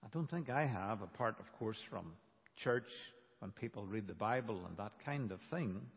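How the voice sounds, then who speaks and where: A man preaching a sermon as a pastor, in a deliberate, emphatic manner with dramatic pauses. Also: lowish voice, expressive, slightly rough. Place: large reverberant church. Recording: dull, reverberant church hall recording.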